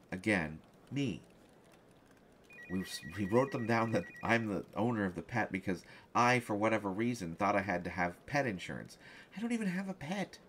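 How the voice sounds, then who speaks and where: A man playing a flustered, distracted reporter in an audio roleplay, halting and stammering, jealous and defensive. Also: fairly low pitch, a bit bright, a little hiss or hum. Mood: indignant. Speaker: voice actor in a solo roleplay audio. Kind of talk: one-sided conversational audio roleplay.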